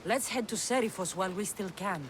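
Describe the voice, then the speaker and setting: A woman's voice: medium pitch, a little fast, background noise, close to an everyday voice. An actress playing a bold, blunt female mercenary in a video game, speaking firmly and with command. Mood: resolute. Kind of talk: video game cutscene acting.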